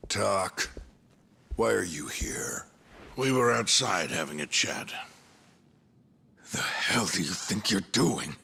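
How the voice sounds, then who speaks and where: Gravelly, menacing yakuza captain. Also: male voice, low voice, lively intonation, bright, forceful tone, heavily acted. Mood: cold menace. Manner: sneering, drawn-out, threatening. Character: menacing, sneering middle-aged male yakuza captain. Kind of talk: video game cutscene voice acting.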